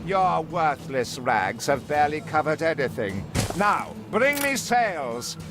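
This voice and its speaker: An actor playing a cruel, greedy uncle in a video game, with a haughty, drawling delivery. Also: male voice, fairly high pitch, sing-song, takes it slowly, music in the background, heavily acted. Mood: contemptuous, impatient. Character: cruel, greedy, sneering uncle, a wealthy villain.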